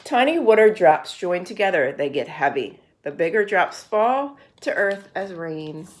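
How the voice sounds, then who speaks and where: A woman reading picture books aloud to a young child as a storyteller, pausing to explain things simply. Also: fairly low pitch, very animated. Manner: simple explanations to a child between read passages.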